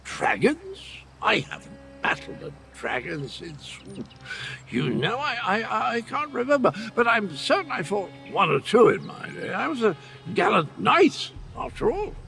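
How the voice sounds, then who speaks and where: Dotty old gallant knight, British,, game role: high, gravelly, extremely animated, whimsical and befuddled. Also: male voice, unhurried, full character voice. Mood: eager, cheerful. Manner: theatrical, whimsical, rambling with archaic genteel slang. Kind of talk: video game character dialogue.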